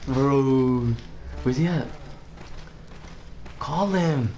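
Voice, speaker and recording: A man's voice: medium pitch, extremely slow, dark tone. A young man co-hosting a video with casual, slangy, profane banter. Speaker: video co-host. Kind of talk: casual banter over gameplay. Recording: echoey room with background noise.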